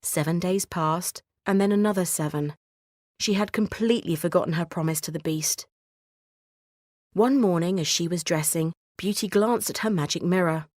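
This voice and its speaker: A woman storyteller giving a measured, expressive scripted narration of a children's bedtime story. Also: on the low side, no room sound, studio quality.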